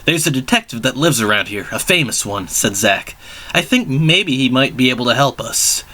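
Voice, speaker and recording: A bold young sword-wielding hero, read by a man in a dramatic story. He is earnest and eager, with a mid-pitched, forceful, bright voice. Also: expressive, normal speed. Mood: eager, friendly.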